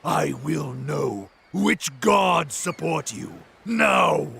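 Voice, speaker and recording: A man voicing a huge, cruel cyclops warlord in a video game, with a gravelly, gloating delivery. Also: a little high, animated, very slow, sharp tone, full character voice. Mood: threatening. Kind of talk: video game cutscene acting. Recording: noisy game capture.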